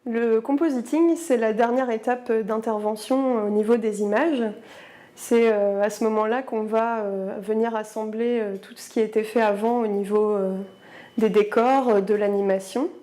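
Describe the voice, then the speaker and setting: A woman working in animation explaining her job, pausing often with fillers. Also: fairly high pitch, fairly even intonation, dark tone. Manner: hesitant, many fillers. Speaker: compositing artist. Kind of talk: explanatory interview.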